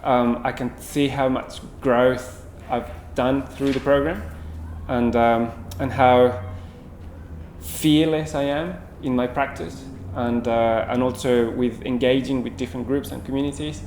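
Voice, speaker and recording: A man's voice: mid-range voice, steady tone, measured pace, darkish tone. A man from Chile, talking easily about his art practice in an interview. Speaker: program graduate, painter and art teacher. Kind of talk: interview testimonial. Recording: echoey room with faint hiss.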